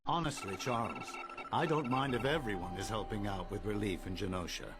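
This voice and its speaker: An actor playing a polite, articulate, scholarly mutant in a video game. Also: male voice, fairly low pitch, fairly quick, lightly acted. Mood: amiable. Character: courteous, scholarly male mutant, amiable and articulate.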